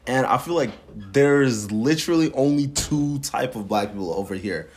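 A man's voice: mid-range voice, expressive, normal speed. A young man from Minnesota asking questions in a blunt, slangy, casual way. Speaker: interviewer. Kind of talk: casual conversation.

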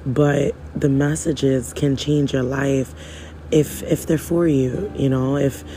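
Hoarse, rambling man with a dark voice. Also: mid-range voice, steady tone, slow, slight background noise, poor audio. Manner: rambling, preachy, casual slang. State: losing his voice. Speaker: podcaster. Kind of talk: spiritual monologue.

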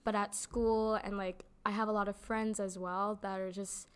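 A woman's voice: mid-range voice, level tone, moderate pace, smooth. A young woman giving casual interview answers in a chatty way, with lots of "like" fillers. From Canada. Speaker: interviewee, actor.

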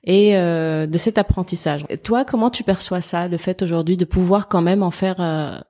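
Soft-spoken woman interviewer. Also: fairly low pitch, moderate pace. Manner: soft-spoken, searching for words. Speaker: podcast host. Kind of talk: interview questions.

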